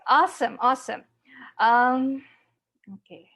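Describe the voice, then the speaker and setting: A woman with a South Indian accent presenting an interactive online lesson, halting and often repeating words as she explains. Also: high-pitched, fairly bright tone. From Chennai, South India. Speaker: teacher, online conference presenter. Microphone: video call.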